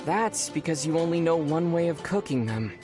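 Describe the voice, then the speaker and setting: An actor playing a gentle, teasing young male adventurer in a video game, speaking in a measured, wry way. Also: on the high side, animated, lightly acted. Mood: conversational. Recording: music behind the voice.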